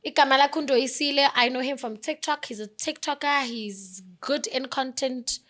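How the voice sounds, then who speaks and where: A woman's voice: high-pitched, moderate pace, fairly bright tone. A woman hosting a podcast, asking direct, teasing questions in a casual chat. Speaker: podcast host. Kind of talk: casual interview conversation.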